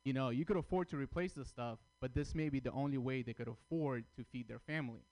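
A man from Armenia, raised in Russia, performing a stand-up comedy set with crude, deadpan punchlines. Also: mid-range voice, fast talker. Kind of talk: stand-up routine at open mic.

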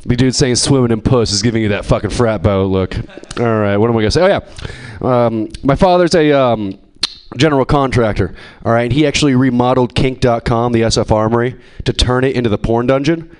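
A man's voice: mid-range voice, brisk, a little mellow, clear, resonant tone. A man hosting a comedy open mic and doing a crude, offhand stand-up set. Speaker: comedy show host.

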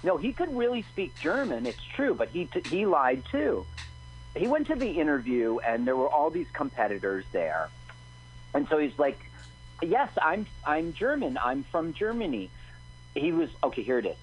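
A man's voice: on the high side, big pitch swings, moderate pace, slight background noise, rough recording. A man co-hosting a radio show, telling stories emphatically and giving strong opinions in casual chat. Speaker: radio co-host. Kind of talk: casual chat watching a movie.

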